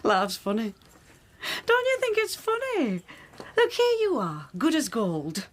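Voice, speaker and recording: A worldly café woman in a radio play. Her voice is high and swings freely in pitch, and she is frank, teasing and bluntly probing. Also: wildly swooping pitch, slow pace, full character voice. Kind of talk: radio drama dialogue.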